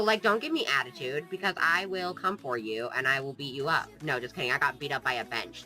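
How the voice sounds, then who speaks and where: Sniffly gamer vlogger with a cold, super bright voice, jokey and rambly, desk mic. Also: mid-range voice, low-quality recording. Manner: playful, jokey, sarcastic, drifts off topic. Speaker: gaming YouTuber. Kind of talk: casual rambling vlog chat.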